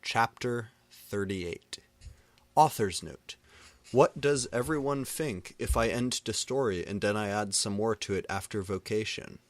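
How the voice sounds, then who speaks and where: Male reader, lowish pitch, unhurried, theatrical comic delivery of fan fiction, dry close sound. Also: no room sound. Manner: reads misspellings and punctuation literally. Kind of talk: dramatic comedic reading aloud of fan fiction.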